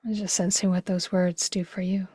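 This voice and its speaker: A woman leading a guided meditation slowly, in a gentle, hushed, breathy voice with long pauses. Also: fairly low pitch, level tone, dry recording. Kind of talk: guided meditation, slow and spacious.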